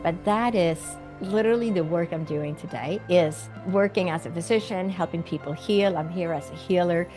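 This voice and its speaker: A woman's voice: on the low side, normal speed, few pauses, over music. A middle-aged woman from Sweden, a physician and medium, telling her story earnestly in an interview with light laughs.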